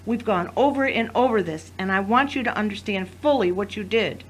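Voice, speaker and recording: A woman acting in an audio drama as a wounded but resolute wife, speaking in a solemn, deliberate, commanding way. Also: medium pitch, breathy edge, close to an everyday voice, low-quality recording. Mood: stern.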